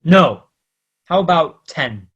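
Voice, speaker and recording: Guy doing a sleazy radio drama blackmailer, taunting and slangy, kinda rough husky voice, very up and down. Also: fairly high pitch, expressive, slow, fairly bright tone, exaggerated voice. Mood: menacing. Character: menacing, smug small-time male blackmailer. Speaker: actor. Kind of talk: old-time radio crime drama acting.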